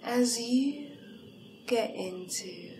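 Very slow, seductive vampire villainess played by a woman. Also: fairly high pitch, lively intonation, darkish tone, a little hiss or hum. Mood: menacing, seductive. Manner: slow, breathy, deliberate, often dropping into whispers. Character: seductive hungry vampire villainess, hypnotic and poised. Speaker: roleplay performer. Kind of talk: ASMR hypnosis roleplay, in character.

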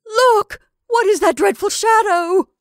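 A woman's voice: very high-pitched, slow pace, no room sound, clean studio sound, voice pushed up, exaggerated voice. A woman voicing an easily alarmed married lady in a story, agitated and fearful.

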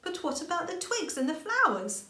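A woman voicing an eager, excitable young girl rabbit in a storybook reading. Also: very high voice, moderate pace, pitched above the performer's own voice, heavily acted. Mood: curious. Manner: bright-eyed, excitable. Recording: roomy home recording.

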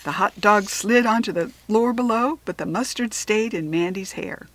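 An American woman narrating a children's story expressively, with deliberate dramatic emphasis on key words. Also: medium pitch, fairly bright tone, no echo. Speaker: storybook reader.

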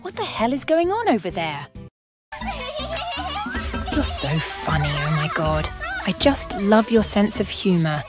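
A woman voicing a temperamental young female unicorn artist in an amateur comic dub, expressive and exclamatory. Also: medium pitch, unhurried, pauses often, over music. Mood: cheerful.

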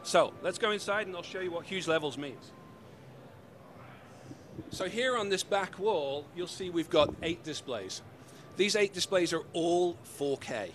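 A man's voice: high voice, animated, unhurried, a bit bright, slight background noise. A man in his forties from the UK with a slightly mixed British accent, explaining products with upbeat, salesy energy in a trade-show interview, speaking into a handheld mic in a convention hall. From United Kingdom. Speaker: company representative. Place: busy convention hall booth. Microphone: handheld mic held by interviewer.

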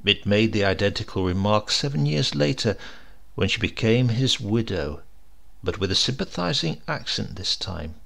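A man's voice: lowish voice, lively intonation, normal speed, vocal fry, fairly bright tone. A man narrating a horror story as a dramatic audiobook reading.

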